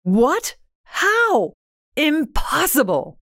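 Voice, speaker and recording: A woman's voice: high voice, wildly swooping pitch, drawn-out words, fairly bright tone, dry recording, clean studio sound, voice pushed up, exaggerated voice. A woman voicing a worried, practical husband, urgent and questioning, in a dramatised storybook reading. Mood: alarmed, incredulous. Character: worried, practical husband, a tired government clerk.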